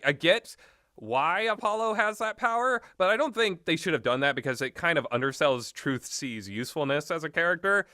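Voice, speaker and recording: A man rambles through blunt, casual commentary in a bright, forward voice. His pitch swings around a lot as he halts, restarts and fills gaps while thinking. The recording is close and dry. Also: no echo. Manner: hesitant, halting, many filler words and trailing thoughts. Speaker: host of a video game talk.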